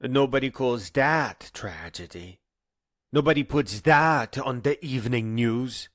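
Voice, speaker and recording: A man delivering a scripted spoken-word monologue in a solemn, deliberate way with poetic phrasing and pauses. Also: mid-range voice, no echo, studio quality.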